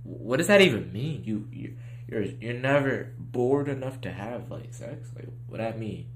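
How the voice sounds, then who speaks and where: A man's voice: medium pitch, very animated, unhurried. A man streamer giving blunt, slangy reaction commentary over a video he is watching.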